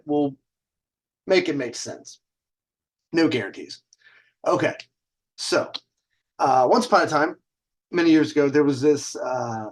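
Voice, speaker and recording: A man's voice: medium pitch, slightly rough. A middle-aged man hosting a video segment, telling stories in a casual, rambling, informal way with conversational asides and some swearing. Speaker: host of a dating and lifestyle video segment. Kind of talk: casual, rambling storytelling to camera.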